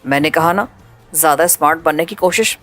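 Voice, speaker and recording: A woman voicing a cold, ruthless male pirate leader who talks in a calm, mocking, menacing way. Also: deep voice, soft background music, pitched below the performer's own voice.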